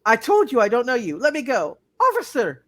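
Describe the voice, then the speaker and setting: A woman's voice: a little high, wildly swooping pitch, a little fast. A woman playing a prim, wary spinster librarian in a hammed-up radio play reading. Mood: alarmed.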